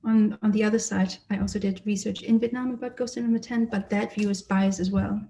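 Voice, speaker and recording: A woman who grew up in Germany, an artist giving a lecture about her exhibition and speaking thoughtfully with frequent pauses and fillers. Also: mid-range voice, fairly even intonation, warm tone. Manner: hesitant, many fillers and restarts, thoughtful. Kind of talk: lecture presentation. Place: lecture or online presentation.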